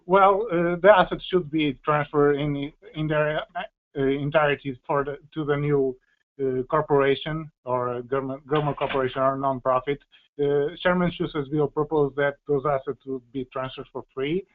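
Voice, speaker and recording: A man with a flat, little-varying pitch gives explanatory answers as an expert on a panel. He often pauses to search for his words. The sound is thin and band-limited, like a phone call. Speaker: policy expert answering questions.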